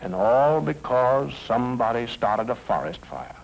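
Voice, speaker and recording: A man's voice: mid-range voice, very animated, measured pace, gravelly, highs cut off. An American man giving folksy, emphatic scripted narration in the manner of a mid-century educational film. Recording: old film soundtrack with music.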